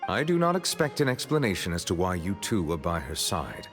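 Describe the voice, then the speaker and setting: A solemn, dignified male judge from a video game speaks on the low side. He is wary and eloquent, choosing formal words deliberately, with soft music underneath. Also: sing-song. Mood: grave caution. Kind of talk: video game character dialogue.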